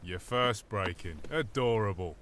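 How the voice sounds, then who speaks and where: A man's voice: lowish voice, lively intonation, a little slow, fairly bright tone, slight background noise. A man with a British accent playing a cheeky, matey, crooked island mechanic in a comic audio drama. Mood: cheeky, teasing. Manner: chatty, teasing.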